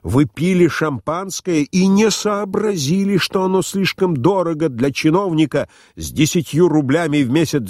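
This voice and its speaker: A man's voice: a little high, no room sound, clean studio sound, voice pushed up. A man voicing a furious, drunken, defiant newspaper man in an audiobook, declaiming his accusations emphatically.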